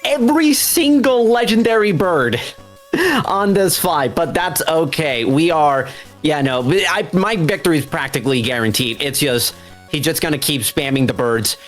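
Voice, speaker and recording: A speedrun racer casually commentating on their own gameplay, talking through each move in an animated way. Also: mid-range voice, normal speed, soft background music. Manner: animated, self-narrating play.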